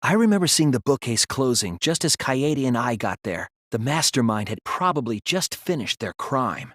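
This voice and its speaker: A sensitive teenage boy detective in a dramatic game dub. His mid-pitched voice moves widely and expressively, earnest with an anxious edge. The sound is dry and studio-clean. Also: big pitch swings, a bit bright, dry recording. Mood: grave, deductive. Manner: earnest, measured explaining that turns to strained pleading. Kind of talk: dramatic English video game dub acting.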